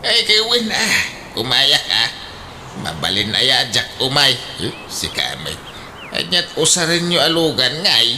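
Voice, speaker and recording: A man's voice: medium pitch, wildly swooping pitch, a little slow, sharp tone, full character voice. Guy doing a blunt older ilocano dad, radio comedy drama, really swoopy piercing voice, bit of noise. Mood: amused, grumbling. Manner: exclamatory, full of interjections. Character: teasing, blunt older father and father-in-law.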